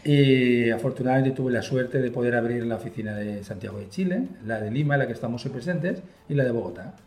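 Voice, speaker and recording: A man speaking with a Spain Spanish accent answers interview questions with fluent enthusiasm. His voice sits at an ordinary pitch and he sprinkles in fillers as he goes. The office room around him gives a roomy echo. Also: fairly quick, a little mellow. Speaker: guest, software company partner. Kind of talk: conversational interview.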